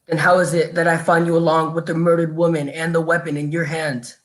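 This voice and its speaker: A man's voice: on the high side, little pitch movement, brisk, fairly bright tone, natural voice. A man playing a stern, officious police inspector in an amateur radio mystery play. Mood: stern, accusing.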